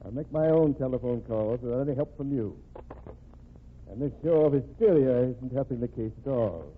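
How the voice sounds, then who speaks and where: An actor playing a stern, dignified governor in an old-time radio crime drama, speaking formally and with measured authority. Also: male voice, mid-range voice, a little hiss or hum, close to an everyday voice. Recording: tinny band-limited old radio recording.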